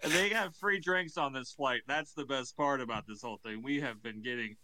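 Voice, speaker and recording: A man's voice: medium pitch, a little fast, noisy background, natural voice. A man playing a crude, irreverent, boastful Jesus in a comedy podcast bit. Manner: crude, boastful, deadpan jokes.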